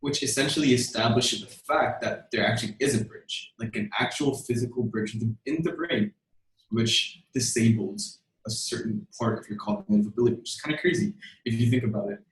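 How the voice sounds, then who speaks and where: A young man talking casually in a podcast discussion, rambling and thinking aloud. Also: mid-range voice. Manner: rambling, quick bursts. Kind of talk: casual discussion on video call. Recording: echoey, distant mic.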